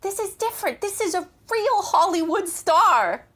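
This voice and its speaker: A pushy, doting older mom played by a woman in an audio drama. Her high voice swings widely as she nags and insists, dramatic and easily starstruck. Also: animated, a little slow, fairly bright tone, exaggerated voice. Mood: nagging, encouraging.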